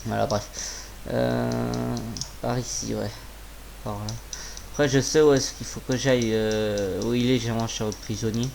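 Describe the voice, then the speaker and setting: A slightly hoarse man with a sore throat giving casual, informal gameplay commentary, muttering to himself and swearing. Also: mid-range voice, steady tone, unhurried, a little mellow, a little hiss or hum. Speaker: gaming video creator.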